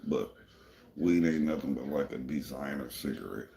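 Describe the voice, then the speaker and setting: A man from Michigan hosting a casual livestream, with a deep gravelly voice people call a radio voice, talking in a laid-back, rambling way. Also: normal speed, warm tone, roomy sound. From Michigan, USA. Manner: laid-back and rambling, many "you know" fillers. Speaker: livestream host. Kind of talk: casual livestream chat answering viewers.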